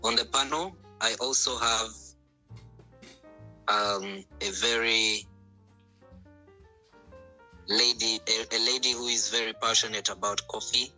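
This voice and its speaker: A man hosts an online panel over call audio, polite and full of hesitant ums and uhs. His voice is very bright and of middle pitch. Faint music plays behind him. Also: fairly even intonation, takes it slowly, pauses often. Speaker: host, panel moderator.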